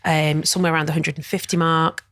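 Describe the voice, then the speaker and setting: A woman's voice: low voice, little pitch movement, quick pace, no room sound, studio quality. A middle-aged woman talking as a guest in an interview, chatty and full of fillers. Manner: chatty, warm-hearted, many fillers and "you know". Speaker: guest, wellness event director. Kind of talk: casual interview chat.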